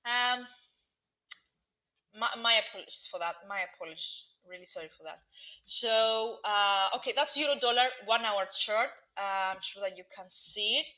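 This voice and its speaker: Bright-voiced Greek Cypriot woman analyst. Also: medium pitch, moderate pace, low-quality recording. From Cyprus. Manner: hesitant, many fillers and restarts, chatty asides to viewers. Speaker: market analyst presenting a live webinar. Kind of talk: live market commentary.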